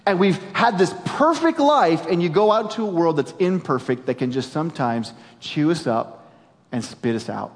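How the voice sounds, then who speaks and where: A man in his forties preaching a sermon as a pastor, animated and jokey, dropping suddenly to quiet emphasis. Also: fairly high pitch, normal speed. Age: 40s. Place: large church auditorium. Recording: long hall reverb.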